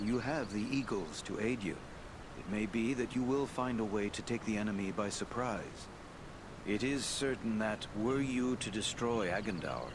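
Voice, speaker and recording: An actor playing a wise, grave elven lord in a fantasy game, giving counsel in formal, measured phrases. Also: male voice, lowish voice, noisy background, natural voice. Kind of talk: fantasy game cutscene acting.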